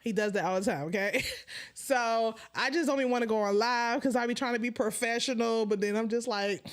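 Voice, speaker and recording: High-voiced animated woman host with a stutter. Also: brisk. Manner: animated, laughs often, stutters. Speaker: live-stream host. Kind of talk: casual live-stream chat.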